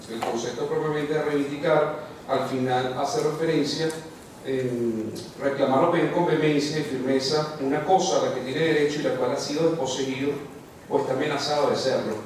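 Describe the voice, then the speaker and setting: A man with a Venezuelan accent puts a formal question at an academic panel, halting and repeating his words. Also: mid-range voice, darkish tone. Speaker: audience member asking a question. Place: large echoey indoor conference room. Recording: very echoey room, distant mic, noisy.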